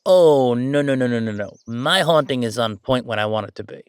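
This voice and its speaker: A man playing a friendly, mischievous ghost roommate in a casual roleplay audio drama, talking in an excitable, joking, conversational way. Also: mid-range voice, a little fast, smooth, dry recording, clean studio sound, close to an everyday voice. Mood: playful, excited. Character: friendly male ghost roommate, playful, mischievous, chatty jokester.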